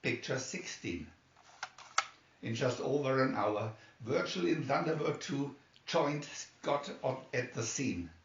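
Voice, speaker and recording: A man's voice: medium pitch, animated, slow pace, noisy background. A man reading a scripted adventure story aloud as a narrator. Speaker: amateur reader of a children's story booklet. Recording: dull, roomy, distant microphone.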